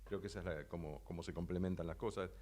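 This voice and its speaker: A man's voice: low voice, steady tone, fast talker, mellow. A man co-hosting an interview, summing up points in a thoughtful way with hesitant fillers. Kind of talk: casual interview talk.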